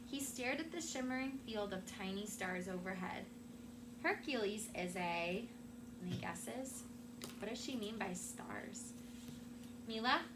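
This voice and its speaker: A woman teacher reading a children's story aloud in an expressive narrating style. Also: medium pitch, a little slow. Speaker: classroom teacher. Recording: clearly noisy room recording.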